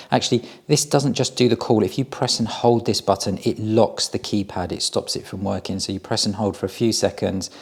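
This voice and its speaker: A man with a fairly low voice talks through a product demonstration. His delivery is casual and plain-spoken, explaining things step by step as a reviewer would. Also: a little mellow, slightly rough.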